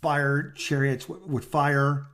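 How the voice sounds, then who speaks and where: A man chatting casually as a podcast co-host, rambling and halting as he searches for his words. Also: medium pitch, level tone, fairly bright tone, slight background noise. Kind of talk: casual chat.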